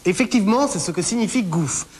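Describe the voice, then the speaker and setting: A woman's voice: medium pitch, animated, quick pace, a little mellow. A woman co-hosting a magazine show, reading a scripted presentation in a playful manner. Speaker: TV co-host. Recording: hissy background noise.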